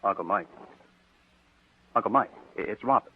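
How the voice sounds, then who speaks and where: An actor playing a scheming young nephew who talks earnestly and stammers in a 1940s radio drama. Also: male voice, on the low side, normal speed, breathy edge. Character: scheming young male nephew feigning devotion. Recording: tinny old radio broadcast.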